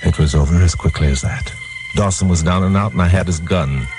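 Low-voiced hard-boiled radio crime hero. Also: male voice, fairly quick. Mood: grim, hard-boiled. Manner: hard-boiled, sardonic, slangy. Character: wisecracking tough male radio crime-fighter hero narrating his case. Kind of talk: 1950s radio drama acting. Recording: old 1950s radio recording, music underneath.

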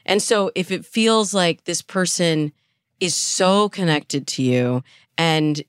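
A woman's voice: on the low side, takes it slowly, clear, resonant tone, no echo, studio quality. A woman speaking as a dating coach and interview guest, explaining things conversationally and with emphasis. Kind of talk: conversational expert advice.

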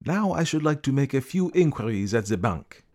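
A man voicing a fussy, precise male detective who asks polite, probing questions in a deliberate way. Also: medium pitch, big pitch swings, darkish tone, pitched above the performer's own voice.

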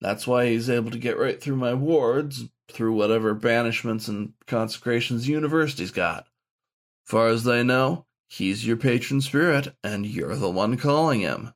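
A man's voice: mid-range voice, normal speed, natural voice. A man voicing a frank badger shaman who mentors in a blunt, informal, explanatory way. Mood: matter-of-fact.

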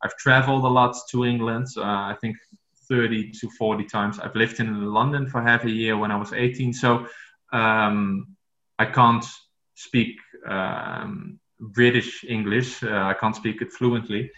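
A man from the Netherlands whose first language is Dutch, speaking English as a podcast guest and talking haltingly, with many fillers and restarts. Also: lowish voice, steady tone, sharp tone, echoey room, dull audio. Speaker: author guest. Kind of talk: podcast interview.

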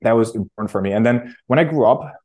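A man, answering interview questions in a casual, halting way with many restarts. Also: medium pitch, darkish tone. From Romania. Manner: halting, frequent self-restarts and fillers. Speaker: startup founder guest.

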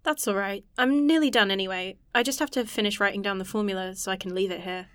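A woman's voice: medium pitch, fast talker, fairly bright tone, clear, resonant tone, no echo, clean studio sound, close to an everyday voice. A woman acting in an audio drama as an earnest, anxious scientist who rambles self-consciously. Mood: grateful.

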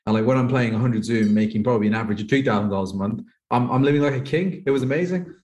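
Fast-talking man from the UK, thirties. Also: medium pitch, a little mellow. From United Kingdom. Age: 30s. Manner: rambling, heavy use of "like". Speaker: professional poker player guest. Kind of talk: casual interview chat. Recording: echoey room.

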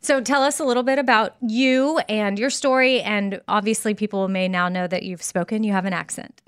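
A woman's voice: mid-range voice, steady tone, normal speed, a bit bright, clear, resonant tone. A woman hosting a podcast, chatting in a bubbly, enthusiastic way with her guest. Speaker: podcast host. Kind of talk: casual podcast interview.

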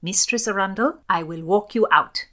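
A woman voicing a reserved, authoritative English court lady in a period audiobook, formal and measured. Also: mid-range voice, a bit bright, voice pushed up, lightly acted. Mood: firm.

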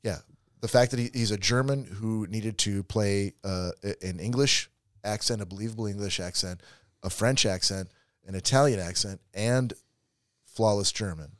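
A man with a very low voice talks casually about a movie, doubling back over his own words as he goes. He sounds close and dry, with no room around him. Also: moderate pace, dry recording. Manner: restarts and repeats words often. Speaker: podcast co-host.

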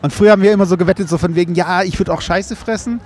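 A man's voice: sits high, steady tone, rapid-fire, darkish tone, slight background noise. A young man in casual banter, rambling along in a joking, conversational way. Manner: joking, loose rambling storytelling.

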